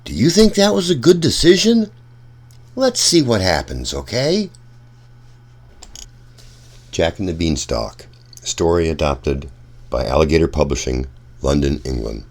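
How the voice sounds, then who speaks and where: A man reading a children's storybook aloud in an expressive way, addressing young listeners as a storytime narrator. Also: rumbling low, a little slow, pauses often. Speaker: storytime reader.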